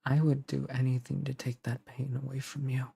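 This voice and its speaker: A man performs a devoted, possessive boyfriend in a romance audio drama. His mid-pitched voice is gravelly with vocal fry, and he pleads tenderly and earnestly, pausing for dramatic weight. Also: flat delivery, mellow. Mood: fervent, protective. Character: intensely devoted male lover, tender, protective, possessive. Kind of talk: romantic roleplay audio acting.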